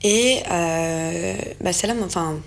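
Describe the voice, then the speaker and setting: A woman's voice: on the low side, a little slow, vocal fry. A young woman co-hosting a food segment, chatting casually and enthusiastically while tasting, with many hesitations. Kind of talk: casual tasting chat on a show set.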